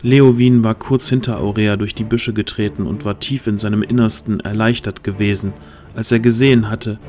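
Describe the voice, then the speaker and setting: Casting: male narrator for fantasy audio play, lowish voice, measured storytelling, light music bed. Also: fairly even intonation, darkish tone.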